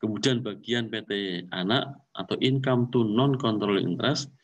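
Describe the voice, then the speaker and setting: Low-voiced man giving a methodical lecture. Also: moderate pace, husky, distant mic, muffled recording. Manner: methodical, repeats figures and terms, mixes in English accounting terms. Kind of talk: accounting lecture walkthrough.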